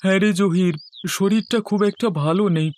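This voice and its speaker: A man's voice: high-pitched, brisk, darkish tone, a little hiss or hum, natural voice. A man voicing a poor, ailing, downcast fellow in a fairy-tale cartoon, plaintive and resigned. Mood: weak, resigned. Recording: music playing under the voice.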